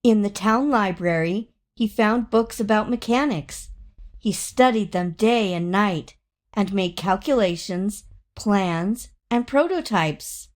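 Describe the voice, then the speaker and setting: A woman reading a children's story aloud in an expressive, dramatic way, drawing out words for emphasis. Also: medium pitch. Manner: dramatic, with drawn-out emphasis and acted sound words. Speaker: storybook reader.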